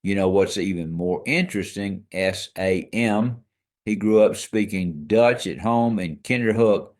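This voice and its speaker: A man's voice: low voice, a little mellow. A man co-hosting a scripted history podcast, explaining facts in an emphatic, storytelling way. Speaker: co-host.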